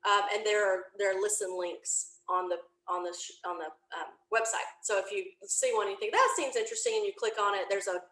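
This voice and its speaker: Female interviewee, mid-pitched, informal and rambling explainer, roomy echoing sound. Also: a little fast, hardly any pauses, a bit bright. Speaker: podcast creator being interviewed. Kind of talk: casual, chatty interview explanation. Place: indoor home office, remote video call.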